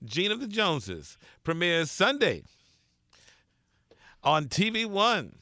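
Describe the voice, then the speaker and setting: A man's voice: high-pitched, wildly swooping pitch, slow pace, sharp tone, no room sound, clean studio sound. A man hosting a radio interview, playful and teasing, laughing often. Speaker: radio host.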